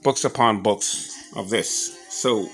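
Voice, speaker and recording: A man giving a casual show-and-tell commentary as an enthusiastic collector, halting a little as he reads old clippings aloud. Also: lowish voice, fairly bright tone, faint music behind. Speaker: boxing memorabilia collector showing his scrapbooks on video.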